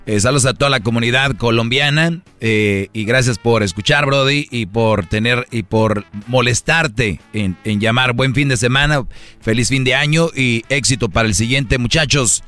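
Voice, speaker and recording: A man's voice: medium pitch, normal speed, fairly bright tone, soft background music. A man hosting a radio show with casual, opinionated, slangy comedic talk.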